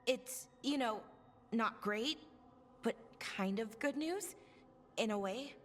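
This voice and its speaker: Anxious young female companion, video game. Hesitant, highish, lively intonation. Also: in a large hall, slight background noise. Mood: hesitant, hopeful. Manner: halting, tentative.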